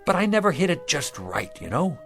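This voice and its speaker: A man's voice: on the high side, big pitch swings, fairly quick, gravelly, fairly bright tone, heavily acted. A humble, down-on-his-luck sidewalk salesman in a radio drama. His folksy, earnest speech rises and falls with a lot of expression, and there is a sly streak in him. Faint music plays underneath. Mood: earnest, wistful. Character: down-on-his-luck sidewalk pitchman, humble, wistful, slyly wily.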